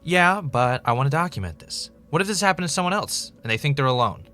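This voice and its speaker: A man's voice: on the high side, animated, quick pace, bright, forceful tone, soft background music. A man playing an earnest, dogged podcaster investigating a mystery in a naturalistic audio drama, with a wry, self-dramatizing streak. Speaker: podcast host in a scripted drama.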